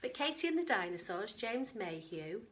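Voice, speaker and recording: A woman teacher giving an expressive storytime read-aloud for young children, with chatty asides to her listeners. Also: medium pitch, moderate pace, a little hiss or hum.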